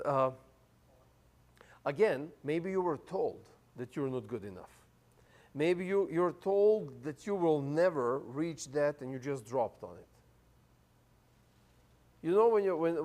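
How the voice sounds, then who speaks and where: A man's voice: on the high side, darkish tone. Casual non-native English-speaking male pastor. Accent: foreign accent, non-native English. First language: not English. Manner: chatty, many fillers and restarts, laughs easily. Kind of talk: casual conversational sermon.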